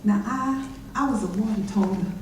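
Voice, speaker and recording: A woman's voice: mid-range voice, moderate pace, darkish tone, natural voice. A woman performing a haunted formerly enslaved eyewitness in a folksy readers theater monologue. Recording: echoey chapel from far off, noisy.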